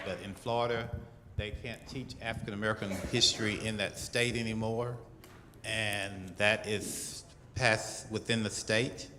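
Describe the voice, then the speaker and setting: Guy leading a church program, lowish voice, earnest, halting, echoey hall. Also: bright, forceful tone, slight background noise. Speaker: program leader at a church event. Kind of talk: informal address to a congregation.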